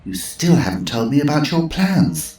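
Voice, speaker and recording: A man's voice: a little high, very animated, moderate pace, darkish tone, faint music behind, pitched above the performer's own voice. Man playing a sly old woman, dry, knowing, echoey room. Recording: roomy echo.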